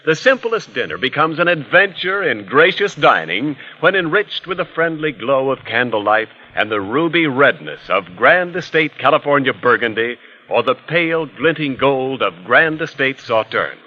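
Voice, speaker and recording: A man's voice: medium pitch, big pitch swings, moderate pace. An American man reading a scripted 1940s radio commercial as a polished, florid announcer. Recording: dull old 1940s radio recording.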